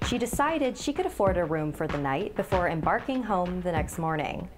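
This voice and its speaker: Low-voiced woman telling a scary story. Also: very animated, moderate pace. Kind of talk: scripted dramatic scary-story narration. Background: background music.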